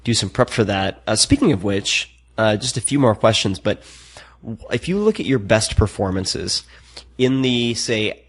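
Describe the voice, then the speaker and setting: A man hosting a podcast interview, asking questions in a measured, curious way with some hesitations. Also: on the low side, husky. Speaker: podcast host. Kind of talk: interview questions and casual chat.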